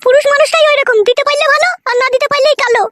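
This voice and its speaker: Squeaky, extremely high bossy cartoon wife. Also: female voice, fairly even intonation, rapid-fire, no echo. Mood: indignant. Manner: scolding, curt, foul-mouthed. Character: bossy, sharp-tongued young wife who threatens her husband. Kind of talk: amateur comic cartoon voice acting. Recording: loud noise behind the voice.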